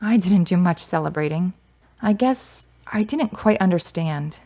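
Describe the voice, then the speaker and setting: An actress playing a kind, reserved grieving daughter in a radio drama, speaking in a measured, earnest way. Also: female voice, lowish voice, animated, dark tone. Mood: subdued, pained. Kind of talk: serial radio drama acting.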